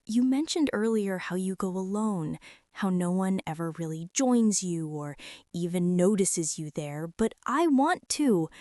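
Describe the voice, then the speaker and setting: A woman's voice: on the low side, animated, measured pace, dry recording, studio quality. A young woman playing a devoted, earnest college girlfriend in an intimate, reassuring ASMR roleplay, speaking straight to the listener. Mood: tender, insistent. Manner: soft, intimate, reassuring, direct address to listener. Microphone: close, dry microphone.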